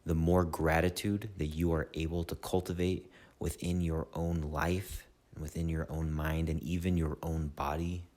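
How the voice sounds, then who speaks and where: A man leading a guided meditation in a soothing, measured way, with long pauses between short phrases. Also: extremely low pitch, a little mellow. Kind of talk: slow guided meditation narration.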